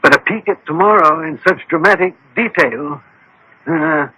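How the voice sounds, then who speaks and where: An actor playing a scholarly, unflappable detective, skeptical and wry, with formal, measured delivery in radio drama style. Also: male voice, fairly high pitch, pushed and bright, a little hiss or hum, poor audio. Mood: skeptical, thoughtful. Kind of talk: 1980s radio drama acting. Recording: old radio drama broadcast.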